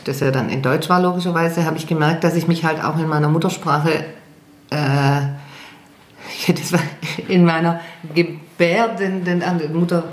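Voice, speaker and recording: A German woman singer, chatting in an interview, hesitant and self-deprecating with many fillers. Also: on the low side. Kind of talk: casual interview chat. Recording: echoey room.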